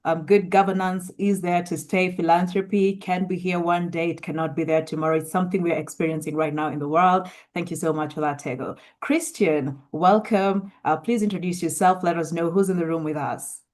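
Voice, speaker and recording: A woman moderating an online roundtable, calling on speakers in a brisk, guiding way. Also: fairly low pitch, steady tone, a little mellow.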